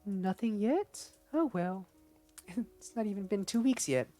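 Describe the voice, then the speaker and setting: A possessive young woman who coos and fusses over her partner, played by a man reading the story aloud, her voice lifted high and bouncing with false sweetness. The reading moves at an easy, unhurried pace. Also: animated, warm tone, faint music behind. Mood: sugary. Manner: syrupy, coaxing, talks down.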